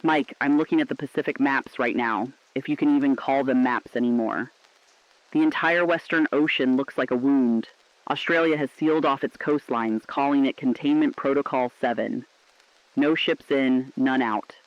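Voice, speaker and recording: A man's voice: mid-range voice, steady tone, normal speed, no echo, close to an everyday voice. A man playing an earnest, defiant radio correspondent in a shelter, giving a solemn, dramatic broadcast on a dull, dry recording. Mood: somber, hopeful.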